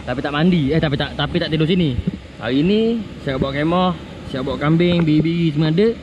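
A man's voice: fairly high pitch, a little fast, muffled recording. Malaysian man chatting over outdoor noise. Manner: bantering, calling out directions to friends. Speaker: vlogger filming a camping trip with friends. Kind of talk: casual outdoor vlog chat. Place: outdoors in a forest by a stream. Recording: noisy outdoor action-camera sound, vehicle noise.

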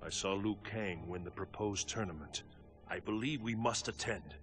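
Man playing a deep, solemn thunder god. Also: moderate pace, a bit bright, lightly acted. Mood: grave. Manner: formal, grave, portentous. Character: solemn, commanding male thunder god guardian. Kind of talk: dramatic video game cutscene acting. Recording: background music under the dialogue.

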